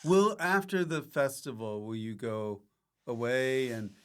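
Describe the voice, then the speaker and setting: A man with a medium-pitched voice hosts a casual comedy podcast. He keeps things conversational, putting in brief questions and playful interjections. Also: unhurried, clear, resonant tone.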